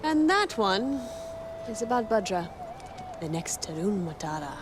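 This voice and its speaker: A woman's voice: medium pitch, very animated, unhurried, background noise. A woman playing a stern, protective young rebel leader in a video game, with a firm, instructing manner. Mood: guarded, earnest. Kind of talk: video game cutscene acting. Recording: loud music behind.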